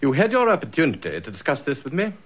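An actor playing a stern, haughty senior British police commissioner in a radio drama, pompous, cold and imperious. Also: male voice, fairly high pitch, wildly swooping pitch, quick pace, a bit bright, slightly rough, exaggerated voice. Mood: stern, affronted. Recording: slightly dull radio drama recording.

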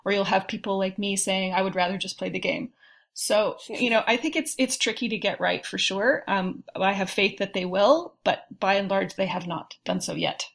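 Female panelist, medium pitch, conversational and informal. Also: moderate pace, creaky, a bit bright. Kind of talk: casual discussion.

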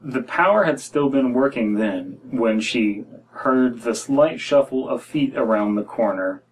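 A man's voice: mid-range voice, expressive, a little slow, rough recording. An American man in his thirties reading a horror story aloud as a podcast co-host, with wry, critical asides. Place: small room indoors.

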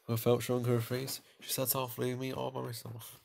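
A man reading a visual novel aloud with casual side comments, halting and stumbling now and then. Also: mid-range voice, monotone, creaky, darkish tone, a little hiss or hum. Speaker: video game player and narrator.

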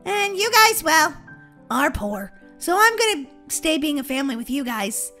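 A woman's voice: high-pitched, sing-song, normal speed, pushed and bright, faint music behind, full character voice. A woman voicing a sweet, earnest young girl who loves her family, in a game roleplay story.

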